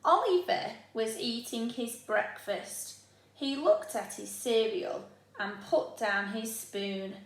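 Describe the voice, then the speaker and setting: A woman with a British accent, a primary school teacher reading a storybook to young children in a deliberate, expressive way. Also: a little high. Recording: echoey room.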